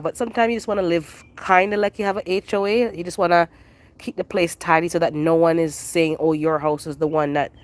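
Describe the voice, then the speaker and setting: A stuffy, nasal-sounding gardener talks through a phone camera in the backyard. The chat is loose and rambling, wandering from topic to topic in an easygoing way. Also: mid-range voice. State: congested, nasal from a head cold. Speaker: gardening livestream host. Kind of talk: casual live chat with viewers.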